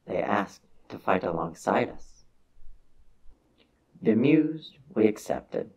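A man with a husky voice giving a solemn, measured, theatrical reading of a science fiction story as its narrator. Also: fairly low pitch, very animated, a little mellow.

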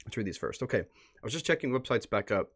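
A man with a fairly low voice hosts a livestream in a casual, chatty way. He talks as if to viewers and to himself, dropping muttered asides as he works through what is on his computer. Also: very animated, fast, a bit bright. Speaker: livestream host. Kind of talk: casual livestream commentary, reading posts aloud. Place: indoors at a computer.

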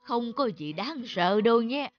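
Wise, protective cartoon elephant. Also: female voice, fairly high pitch, animated, lo-fi sound. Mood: gently instructive. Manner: reassuring, instructive, measured. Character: wise, calm grown-up elephant, protective elder of the forest. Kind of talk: children's cartoon dubbing.

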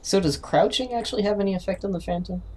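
A woman's voice: medium pitch, quick pace, a little mellow, slightly rough. A woman chatting casually with friends while playing an online game. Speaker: player in an online horror game. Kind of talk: casual banter while gaming.

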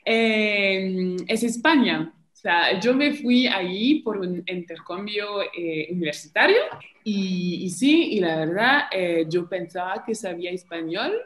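A woman's voice: medium pitch, expressive, slow pace, a bit bright, echoey room. A woman from France with a French accent, a native French speaker, talking chattily as an interview guest over a video call, often restarting her phrases. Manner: chatty, hesitant with many restarts, laughs often. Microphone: laptop mic on a video call.

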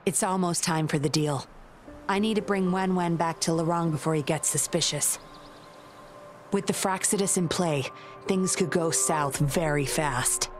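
A woman's voice: on the low side, a little slow, over music, close to an everyday voice. A woman playing a cool, self-assured undercover investigator in a game, composed, knowing and a little teasing. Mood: composed, sly.

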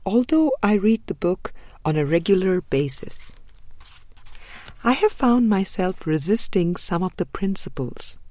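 A woman reading a spiritual book as an audiobook narrator, measured and deliberate, with frequent pauses. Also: medium pitch, animated, warm tone. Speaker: audiobook reader.

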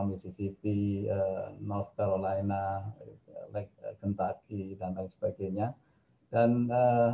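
A man's voice: fairly low pitch, a little hiss or hum. A middle-aged man with a Javanese accent giving an informal, conversational online lecture over a video call. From Indonesia. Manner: conversational, mixes in English phrases. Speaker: guest lecturer, academic. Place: indoor office on a video call. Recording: tinny, phone-like video-call audio.

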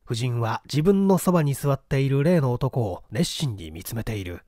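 A man's voice: mid-range voice, lively intonation, moderate pace, warm tone, no echo, clean studio sound. A man reading an old mystery novel aloud as dramatic, theatrical narration. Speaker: audiobook reader.

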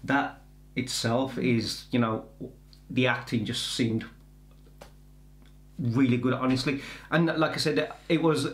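A middle-aged man giving a casual film trailer review in halting, rambling explanations. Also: mid-range voice, steady tone. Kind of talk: casual reaction chat. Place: indoor room with bookshelves. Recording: echoey indoor room.

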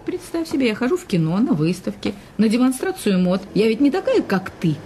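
Domineering wife, lively intonation. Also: female voice, on the high side, a little fast, slight background noise. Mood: assertive. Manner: imperious, needling, teasing. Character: domineering, mocking wealthy wife. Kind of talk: radio drama acting.

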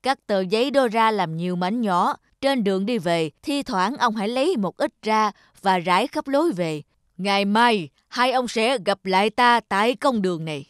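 An actor voicing a stern old-time magistrate in a cartoon folktale, commanding, formal and deliberate. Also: mid-range voice, lively intonation, clean tone, no echo, clean studio sound, close to an everyday voice. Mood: stern, instructing. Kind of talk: Vietnamese cartoon folktale dubbing.

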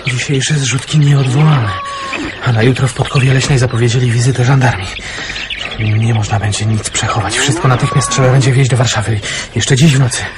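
An actor playing a blunt, teasing messenger from the underground in a radio play. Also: male voice, medium pitch, steady tone, a little fast, very noisy, natural voice. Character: blunt, teasing man from the underground, bringing urgent news.

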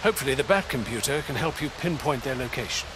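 An actor playing a courteous, concerned older butler in a video game, speaking formally with polite restraint. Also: male voice, medium pitch, animated, quick pace, background noise, natural voice.

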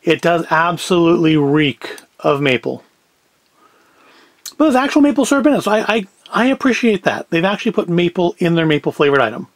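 A man's voice: on the high side, sing-song, a little fast, dry recording. A man, chatting casually through a food review in a wry, self-deprecating, rambling way. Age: 40s. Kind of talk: casual review chat to camera.

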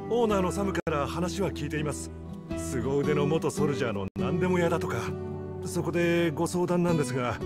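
A soft-hearted young ranch hand, voiced by a man speaks politely in a slightly high voice. He sounds fretful and courteous. Loud game music plays behind him. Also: lightly acted. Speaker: stable hand. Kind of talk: game cutscene voice acting.

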